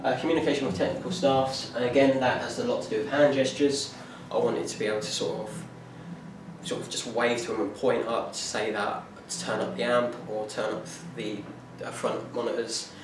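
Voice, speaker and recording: A man's voice: medium pitch, little pitch movement. Young man, echoey distant recording. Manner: halting, many fillers and restarts. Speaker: music student presenting a performance plan. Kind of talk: informal spoken presentation. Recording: echoey room from far off, noisy.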